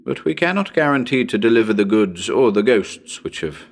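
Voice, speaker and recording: A man acts as a genial radio presenter in a dramatic reading of a ghost story. His voice is low and highly expressive in pitch, with formal, measured phrasing and a dry, wry edge as he addresses the listeners. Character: genial, well-spoken male radio presenter hosting a ghost hunt.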